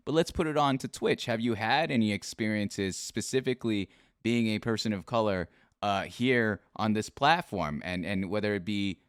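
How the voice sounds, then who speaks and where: A man's voice: lowish voice, clean tone, no echo, clean studio sound. A man hosting a podcast, upbeat and joking, swearing freely and talking to his guest like a pal. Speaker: podcast host. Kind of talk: casual podcast interview.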